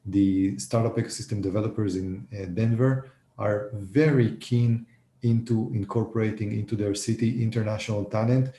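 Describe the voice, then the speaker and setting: A man's voice: fairly low pitch, steady tone, moderate pace, dark tone. A man, perhaps in his thirties, giving an unscripted presentation as host on a video call. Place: indoor room on a video call. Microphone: headset on video call. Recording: roomy video call with faint noise.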